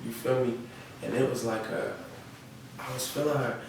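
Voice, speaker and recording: A young man chats casually in slang, as a guest being interviewed. His delivery stays fairly flat and gravelly. He is heard off the mic in a noisy room. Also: mid-range voice, normal speed, heavy reverb, distant mic. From North Carolina, United States. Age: young adult. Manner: slangy, often checks with "you feel me".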